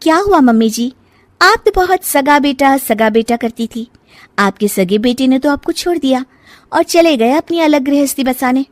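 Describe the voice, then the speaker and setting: A woman's voice: high-pitched, animated, moderate pace, no echo, pitched above the performer's own voice. A woman voicing a caring, cheeky young daughter-in-law in a cartoon story, coaxing and pointed. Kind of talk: cartoon family story dialogue.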